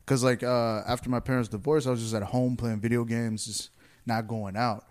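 Young man telling stories in a relaxed way. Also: mid-range voice, moderate pace. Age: 20s. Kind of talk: casual chat.

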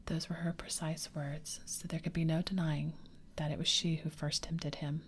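Woman narrator, whispered ASMR storytelling, very low, gentle pacing. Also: flat delivery, slight background noise. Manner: gentle, unhurried. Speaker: reader.

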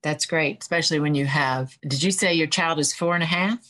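A woman's voice: low-pitched, brisk, a bit bright. A middle-aged woman chatting casually as a podcast co-host. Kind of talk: casual interview chat.

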